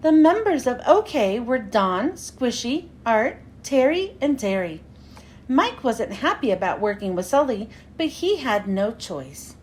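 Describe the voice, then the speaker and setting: Female kids' read-aloud host: expressive, very lively intonation, high voice, slightly noisy recording. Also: measured pace. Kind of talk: children's storybook read-aloud.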